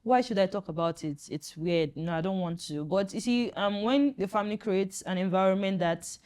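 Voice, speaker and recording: A woman doctor on a panel, calmly explaining childhood anxiety to parents in an earnest, reassuring way, with frequent fillers. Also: mid-range voice, normal speed. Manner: earnest and reassuring, with many "you know" fillers. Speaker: pediatric doctor and guest.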